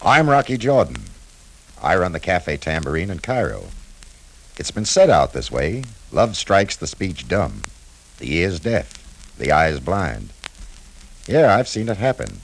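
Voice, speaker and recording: An actor playing a tough, terse American cafe owner in a 1950s radio drama, with a clipped, hard-boiled, understated manner. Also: male voice, low voice, big pitch swings, unhurried, exaggerated voice, poor audio. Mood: wry, hard-boiled.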